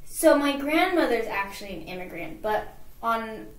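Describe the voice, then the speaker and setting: A woman's voice: fairly high pitch, expressive, normal speed, echoey room. A young woman from California, a guest on an interview show, talking casually and filling her answers with many "like"s and fillers.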